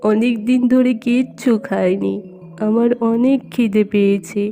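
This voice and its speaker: A woman's voice: a little high, fairly even intonation, a little slow, mellow, faint music behind. A woman voicing a plaintive, humble old beggar woman in a cartoon folk tale. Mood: pleading. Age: older. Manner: pleading, plaintive. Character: hungry, pleading, grateful old beggar woman.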